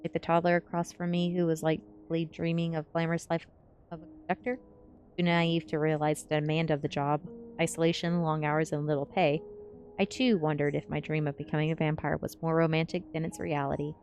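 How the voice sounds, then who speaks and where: A woman's voice: low-pitched, level tone, brisk, darkish tone, a little hiss or hum. A woman reading a novel aloud as ASMR storybook narration.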